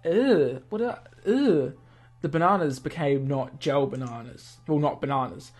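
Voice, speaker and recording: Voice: mid-range voice, animated, a little slow, a little mellow, husky. A game player giving playful let's play commentary and expressively reading the on-screen script aloud. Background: game music.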